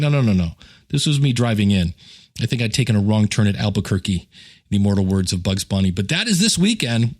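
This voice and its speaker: A man hosting a podcast in a casual, chatty way, rambling into joking, self-deprecating asides. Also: medium pitch. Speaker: podcast host and podcasting teacher. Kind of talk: casual solo podcast talk with plugs and announcements.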